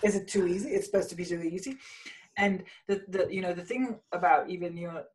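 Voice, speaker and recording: A woman from Denmark in a casual conversation about spirituality, rambling with many restarts and fillers and often trailing off quietly. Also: fairly low pitch, quick pace, rough recording.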